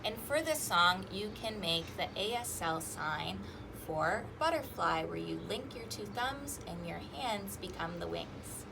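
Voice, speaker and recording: A woman's voice: on the low side, expressive, measured pace, creaky, hardly any pauses, background noise. A woman hosting an interactive storytime, reading aloud to young children with singsong emphasis and inviting them to join in. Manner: asks listeners questions and invites them to join in.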